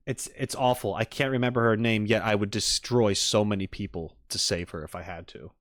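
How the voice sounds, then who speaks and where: A man's voice: on the low side, fairly even intonation, a little fast, dry recording. A man streaming casual reaction commentary, rambling expressively and thinking aloud into a close mic. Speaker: streamer reacting to game videos.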